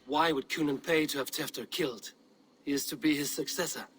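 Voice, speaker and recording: A man's voice: fairly high pitch, fairly quick, fairly bright tone, close to an everyday voice. A man playing a proud, blunt Norse warrior in a video game, speaking in terse, formal phrases. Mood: scornful. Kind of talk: dramatic video game acting.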